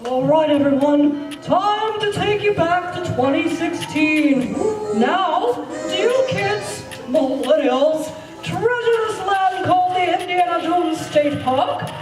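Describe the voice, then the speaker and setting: A woman's voice: very high-pitched, animated, takes it slowly, full character voice. A woman playing an upbeat time-machine tour guide in an amateur radio play, announcing theatrically to the listeners. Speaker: narrator and guide. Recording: echoey room, distant mic, music behind.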